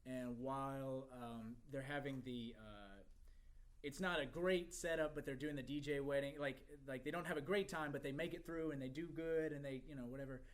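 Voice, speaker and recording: A man's voice: medium pitch, fairly even intonation, normal speed. A man giving casual, thinking-aloud critique in a group discussion, hesitant and speaking in broken phrases. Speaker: feedback giver at a screenplay table read.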